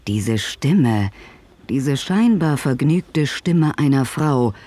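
An actor as the storyteller of a spooky tale, giving measured scripted narration in an audio drama. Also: male voice, medium pitch, lively intonation, warm tone.

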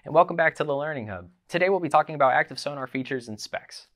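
A man's voice: on the high side, expressive, quick pace, over music. A young man in his twenties presenting a scripted explainer as host, upbeat and running through lists of features in an indoor showroom. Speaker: host of a boat dealer's learning series.

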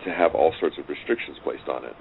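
A middle-aged man speaking as an interview guest, reflecting casually in a halting, thoughtful way. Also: extremely low pitch, vocal fry. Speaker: interview guest, radio drama writer. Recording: noisy, muffled phone-like line.

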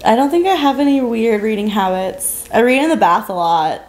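A woman's voice: a little high, expressive, moderate pace, a little mellow. A young adult woman co-hosting a podcast, chatting casually in an unbothered, matter-of-fact way. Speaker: podcast co-host. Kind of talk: casual chat. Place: living room, on a couch.

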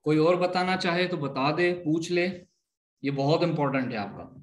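A man's voice: fairly high pitch, moderate pace. A man giving a live online lesson as instructor, explaining step by step, repeating points and often checking that students follow. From Pakistan.

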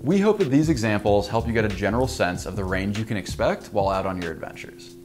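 A man's voice: on the low side, fast talker. Young man explaining radio range. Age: young adult. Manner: clear, even, informative delivery. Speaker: company presenter. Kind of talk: scripted product explainer. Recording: music bed underneath.

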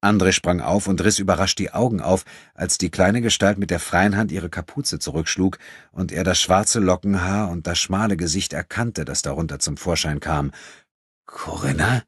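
A man's voice: low-pitched, moderate pace, husky, no room sound, clean studio sound. A man reading a novel as a scripted, dramatic audiobook narration.

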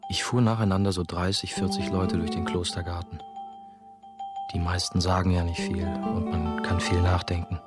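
A man's voice: low-pitched, level tone, moderate pace, loud music behind the voice, close to an everyday voice. An actor playing a subdued, brooding young man who narrates a radio play introspectively.

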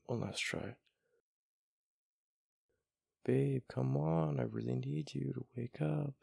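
A man acting a gravelly, flustered gamer boyfriend. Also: darkish tone, no echo, clean studio sound, full character voice. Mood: panicked pleading. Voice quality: deep, gravelly. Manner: halting, stammering, murmured. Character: flustered, affectionate young gamer boyfriend, hushed and pleading. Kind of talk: boyfriend ASMR roleplay.

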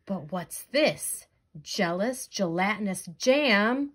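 A woman's voice: medium pitch, lively intonation, slow, a bit bright, slightly rough. A woman teacher reading a children's comic book aloud in a storytelling style. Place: indoors, book on a tabletop.

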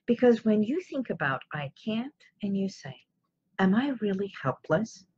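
A woman's voice: mid-range voice, sing-song, moderate pace, darkish tone, lo-fi sound, slight background noise. A woman in her fifties from Iran, a native Armenian speaker, gives an informal motivational talk to camera as host, explaining emphatically with rhetorical questions. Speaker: host of a self-help live show.

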